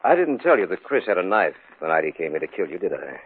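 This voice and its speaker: Cold-blooded schemer, low swooping voice. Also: male voice, very animated, fast talker, slight background noise. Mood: quietly menacing. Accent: American. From United States. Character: scheming, cold-blooded man turned murderer, narrating his crime. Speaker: radio actor and narrator of the story. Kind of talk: 1950s radio horror acting. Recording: muffled old radio recording, loud music.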